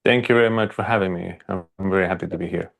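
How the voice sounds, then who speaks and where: A man from Croatia with a Croatian accent, telling his story haltingly and casually as an interview guest, with many hesitations. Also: low voice, gravelly, a bit bright. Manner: halting, frequent hesitations and filler words. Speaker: archaeologist and video creator, interview guest.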